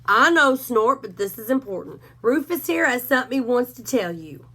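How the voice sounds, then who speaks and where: A woman's voice: on the high side, lively intonation, normal speed, bright, forceful tone, slightly rough, exaggerated voice. A woman voicing a self-important male cowdog who talks in a dry, wry, drawling way.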